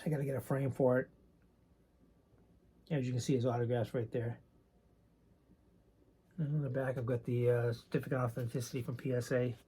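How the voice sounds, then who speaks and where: A soft-spoken man with a raspy voice, chatting casually and rambling a bit while showing his collection. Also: medium pitch, flat delivery, fairly quick, long pauses. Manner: soft-spoken, not loud; rambling, hesitant with many ums. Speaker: card collecting channel host. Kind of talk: casual show-and-tell chat.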